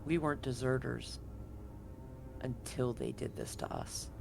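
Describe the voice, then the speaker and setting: A man's voice: mid-range voice, wildly swooping pitch, measured pace, vocal fry, music in the background, voice pushed down, exaggerated voice. A man voicing a bitter, betrayed Marine, angry and accusing, confrontational and profane in a tabletop game. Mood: bitter, wounded. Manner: profane, confrontational, pleading with rhetorical questions.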